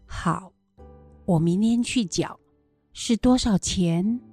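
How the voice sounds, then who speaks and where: A woman's voice: medium pitch, slow pace, mellow, breathy edge, faint music behind. A woman acting a caring, self-sacrificing old grandmother in a radio drama, with a Taiwanese Mandarin accent. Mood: homely.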